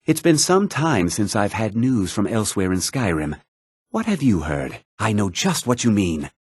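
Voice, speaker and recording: An actor playing a haughty, self-important nobleman in a fantasy video game, with a husky voice and a pompous, condescending, theatrical delivery. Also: on the low side, very animated, moderate pace, no room sound, clean studio sound. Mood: formal, measured. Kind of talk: scripted fantasy game dialogue lines.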